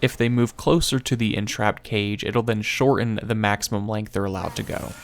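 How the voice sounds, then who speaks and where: A man's voice: lowish voice, steady tone, moderate pace. A man giving matter-of-fact, instructional narration for a gaming video, reading from a script.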